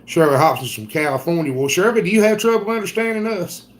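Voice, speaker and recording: A man's voice: high voice, lively intonation, quick pace. Folksy Southern man hosting a livestream. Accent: Southern US, South Carolina. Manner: rambling, folksy, reads viewer comments aloud. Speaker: homestead livestream host. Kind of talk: casual live-stream chat.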